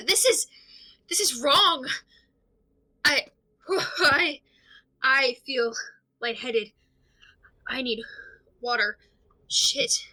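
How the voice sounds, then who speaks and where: Woman as rattled young character, audio drama monologue, high voice, very sharp and tense, halting, stammering. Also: full character voice, low-quality recording. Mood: overwhelmed, distressed. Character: rattled, fearful young listener to secret tapes.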